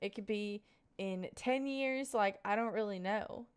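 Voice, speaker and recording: This is a young woman in her twenties talking to her viewers on a live stream. She answers chat questions in a casual, meandering way, full of fillers, and turns sincere when she offers life advice. Her voice is smooth and clear, sitting at an ordinary middle pitch. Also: unhurried. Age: 20s. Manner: rambling, filler-heavy, earnest advice.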